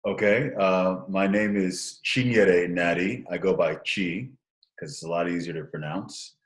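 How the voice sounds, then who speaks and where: A man in his thirties talking in a casual interview over a call, rambling with restarts and growing animated as he tells stories. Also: low-pitched, measured pace, roomy sound. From Nigeria and Canada, raised partly in the US. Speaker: guest, social impact entrepreneur. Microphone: call recording.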